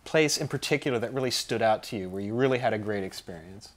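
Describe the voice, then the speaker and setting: A man's voice: on the low side, fast. A man in his thirties hosting a talk show interview, asking questions in a studio.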